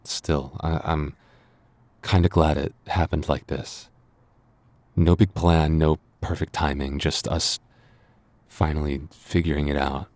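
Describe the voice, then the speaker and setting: A man's voice: extremely low pitch, level tone, takes it slowly, long pauses, darkish tone. A man voicing a smitten, flustered, playfully submissive young man in a boyfriend roleplay audio, stammering shyly and hesitantly. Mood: tender, relieved. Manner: stammering, hesitant, breathy sighs and shy chuckles. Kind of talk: boyfriend ASMR roleplay acting.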